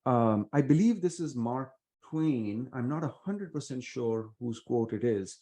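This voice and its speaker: A middle-aged man from India with an Indian accent, giving earnest, measured interview answers with many fillers. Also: mid-range voice, animated, warm tone. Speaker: venture investor guest.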